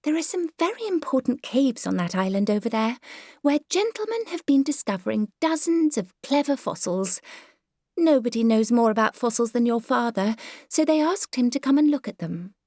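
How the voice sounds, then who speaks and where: Female reader as genteel English Victorian mother: high, airy, fussy chatter, self-satisfied, audiobook, dry studio sound. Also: big pitch swings, normal speed, darkish tone, no room sound. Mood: patient, airy. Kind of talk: dramatised audiobook character dialogue.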